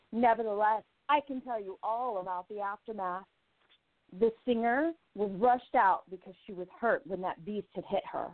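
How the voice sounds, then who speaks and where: A woman's voice: mid-range voice, no echo, phone-quality sound, close to an everyday voice. A woman playing a flirtatious, catty 1920s gossip columnist in a radio play, arch and theatrical, savoring her words. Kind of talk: radio play narration and dialogue.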